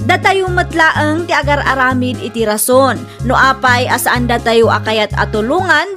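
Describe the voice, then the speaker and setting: A woman with an Ilocano accent narrating the moral of a story in a scripted style. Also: high-pitched.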